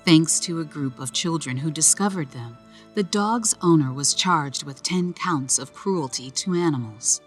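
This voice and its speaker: A woman reading a children's story aloud in a slow, gentle storytelling manner. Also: deep voice, soft background music. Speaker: narrator. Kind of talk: children's read-aloud narration.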